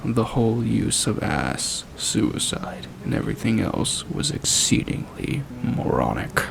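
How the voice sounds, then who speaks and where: A man with a gravelly voice giving a dramatic reading and scornful review in a deliberate, foul-mouthed manner. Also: lowish voice, very animated, background noise. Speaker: creepypasta narrator and reviewer. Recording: music playing behind the voice. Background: background music.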